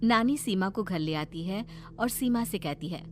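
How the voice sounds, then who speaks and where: A woman's voice: medium pitch, animated, normal speed, a little mellow, slight background noise. A woman narrator reading a scripted story aloud.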